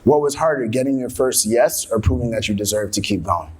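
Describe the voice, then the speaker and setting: A man's voice: medium pitch, lively intonation, a little fast, breathy edge, low-quality recording. A man hosting a live interview, speaking in an encouraging, conversational way as he puts questions to his guest. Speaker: host, interviewer. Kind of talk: live interview before an audience.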